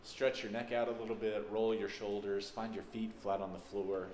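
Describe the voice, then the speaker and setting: A man's voice: on the low side, level tone, moderate pace, a little mellow. A man with a Texas accent, a minister giving a conversational, folksy welcome to a congregation at a church service. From Texas, United States. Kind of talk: informal spoken welcome to a congregation. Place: large church sanctuary, indoors. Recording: echoey sanctuary with background noise.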